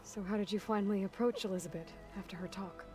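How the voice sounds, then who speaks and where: A woman's voice: medium pitch, steady tone, fast talker, close to an everyday voice. An actress playing an earnest, determined young woman hero in a video game, speaking in an intense, direct way. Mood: curious, subdued. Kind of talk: dramatic video game dialogue. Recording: background music under the voice.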